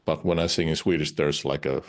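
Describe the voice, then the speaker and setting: A man's voice: extremely low pitch, steady tone, fairly quick. A man whose first language is Finnish, speaking English in a casual interview, hesitant, with many uhs and restarts. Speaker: interviewed musician.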